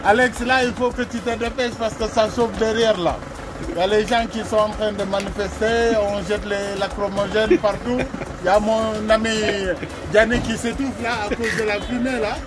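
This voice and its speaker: A man's voice: sits high, steady tone, fairly quick, crowd noise, rough recording. A man in casual conversation, emphatic and repeating himself for stress. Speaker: local companion. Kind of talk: casual chat in French.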